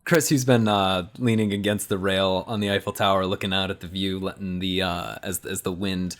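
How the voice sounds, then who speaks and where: A man casually improvising scene narration as a roleplay player, halting as he thinks aloud. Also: deep voice, little pitch movement.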